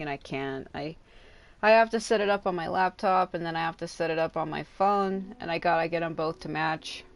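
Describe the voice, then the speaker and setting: A woman with a slightly low voice talks casually on a gaming livestream. She rambles and vents in an offhand way, swearing casually as she goes. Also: no room sound.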